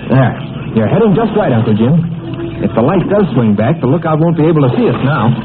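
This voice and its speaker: A man's voice: a little high, lively intonation, fairly quick, close to an everyday voice. An actor playing a brave, confident teenage boy adventurer in a 1940s American radio serial, earnest and explanatory, with an American accent. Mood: urgent, eager. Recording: noisy, tinny old radio recording.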